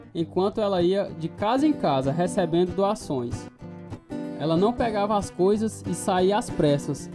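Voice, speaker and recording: A man acting as a troubled, restless narrator telling his life story in a radio drama, with a Brazilian accent. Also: sits high. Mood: somber, confessional.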